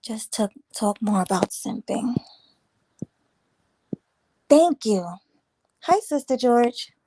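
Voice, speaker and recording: A woman hosting a casual morning livestream, chatting and reading viewer comments, her voice a little raspy this morning. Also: fairly high pitch, animated, slow pace, dark tone, poor audio. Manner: chatty, rambling, teasing viewers. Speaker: livestream host.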